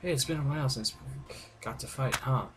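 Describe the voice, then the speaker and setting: A man's voice: medium pitch, a little fast. A man with a mid-range voice reads video game dialogue aloud, informal and playful, tripping on words now and then. The gameplay recording is very noisy, with clicks. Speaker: gaming video host.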